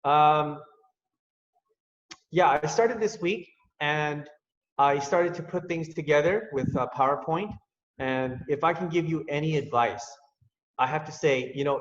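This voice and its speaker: A man's voice: on the high side, a bit bright, a little hiss or hum. Man presenting in a dull, echoey hall. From Canada. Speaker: public speaker, science teacher. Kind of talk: prepared presentation and question answering.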